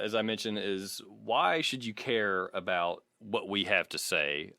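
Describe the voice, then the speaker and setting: A man's voice: deep voice, pushed and bright, dry recording, clean studio sound. A man co-hosting a podcast in casual, conversational talk. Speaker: podcast co-host.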